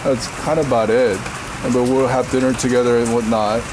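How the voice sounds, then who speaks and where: A man in his forties from Korea with a deep voice, telling stories casually as a podcast guest, laughing often and using many fillers. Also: level tone, fairly quick, noisy background. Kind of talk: casual storytelling.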